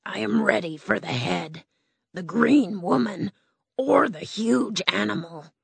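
Narrator doing a scared male lion, soft, wavering, higher, slightly set back, dry sound. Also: measured pace, vocal fry, husky, no echo, full character voice. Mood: timid. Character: timid male lion, always afraid.

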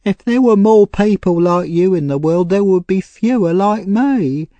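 A man's voice: animated, measured pace, a little mellow, no room sound. A man voicing a frightened, tearful young woman thief in a storybook reading, pleading and urgent. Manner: pleading, urgent and high-strung. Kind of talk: audiobook character reading.

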